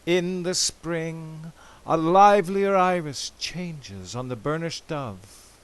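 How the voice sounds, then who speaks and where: A man giving a dramatic poetry recitation in a measured, theatrical, expressive way, with drawn-out phrases. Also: fairly high pitch, smooth. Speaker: poetry reader.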